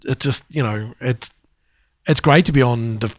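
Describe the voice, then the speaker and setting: Man in relaxed podcast talk, dryly recorded. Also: medium pitch, moderate pace, no room sound, clean studio sound. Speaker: podcast co-host. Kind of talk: casual music podcast chat.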